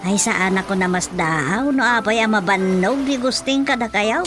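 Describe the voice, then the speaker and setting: A woman's voice: mid-range voice, moderate pace, over music, lightly acted. A woman with a Filipino accent playing a nagging, suspicious village housewife in a radio comedy-drama, questioning and muttering to herself. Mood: curious.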